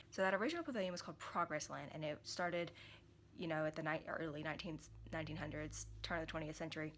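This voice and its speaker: A young woman, hoarse from a cold, chatting casually to camera in a small room, rambling with many fillers and restarts. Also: deep voice, a bit bright, a little hiss or hum. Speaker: vlogger.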